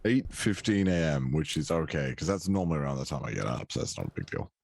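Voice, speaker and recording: Low-voiced man, dry close sound. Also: big pitch swings, fast talker, gravelly, darkish tone, breathy edge, dry recording. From North Wales. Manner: laughs often, swears casually, trails off and restarts. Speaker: guest. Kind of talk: casual podcast chat.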